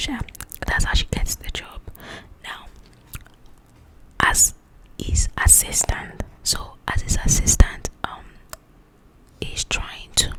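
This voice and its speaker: A woman whispering in an ASMR style as she casually chats about a book in a rambling, animated, informal way. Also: rough recording. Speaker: ASMR creator reviewing a book.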